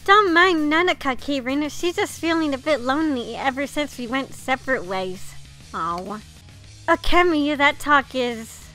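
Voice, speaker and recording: A woman's voice: high voice, pushed and bright. A woman doing a casual playthrough of a visual novel, reading dialogue aloud and reacting informally. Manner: reactive, informal, mild swearing.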